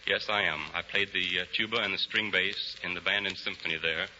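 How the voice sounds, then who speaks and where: Deep bass man on 1930s radio. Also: steady tone, a little fast, bright, forceful tone. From Texas, United States. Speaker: amateur contestant, bass singer. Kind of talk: 1930s radio interview answers. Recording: hissy, muffled 1930s radio broadcast.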